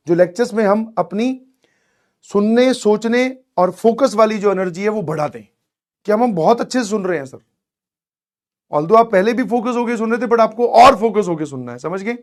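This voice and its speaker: A man with a high, hoarse, strained voice speaks as a coaching teacher to his students. He mixes phrases his talk. He coaxes them along, often says please and keeps checking that they follow. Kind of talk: motivational advice to students in an online class.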